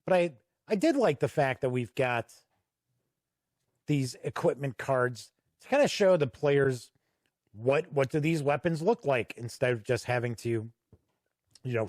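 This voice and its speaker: A man giving a casual product walkthrough as a reviewer, conversational and halting with frequent pauses. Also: mid-range voice, lively intonation, a little mellow, no echo, clean studio sound. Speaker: tabletop game reviewer and host.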